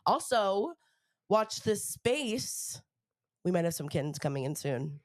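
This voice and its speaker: A woman's voice: medium pitch, very animated, takes it slowly, dry recording, studio quality. Nasal woman with a stuffy cold. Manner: chatty, rambling, lots of fillers. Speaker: podcast host. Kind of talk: casual chat.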